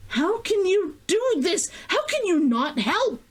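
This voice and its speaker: A woman's voice: extremely high pitch, very animated, takes it slowly, pitched above the performer's own voice, exaggerated voice. A woman voicing an indignant, controlling older sister who snarls accusations in a story read aloud. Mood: snarling, outraged.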